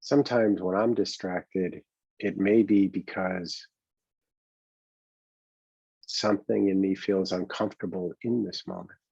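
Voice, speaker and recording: A man with a husky voice leads a guided meditation as a coach, speaking gently and conversationally, unhurried and halting, with long pauses. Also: low-pitched, fairly even intonation. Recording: dull, boxy, roomy lo-fi sound.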